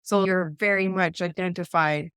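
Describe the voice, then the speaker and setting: A woman's voice: on the low side, steady tone, moderate pace, a bit bright, clean tone, dry recording, studio quality. A woman with an Eastern Ontario Canadian accent chatting casually as a podcast co-host, in a rambling, anecdotal way. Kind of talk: casual conversational discussion.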